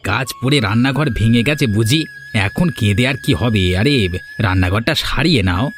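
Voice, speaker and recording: A man's voice: medium pitch, brisk, slight background noise, close to an everyday voice. A man voicing a matter-of-fact village man in a cartoon fairy tale, blunt and chiding as he advises. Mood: blunt, advising.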